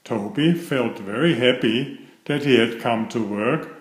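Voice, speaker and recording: A man's voice: medium pitch, a little slow, roomy sound. A man narrating a children's story, reading aloud from a script.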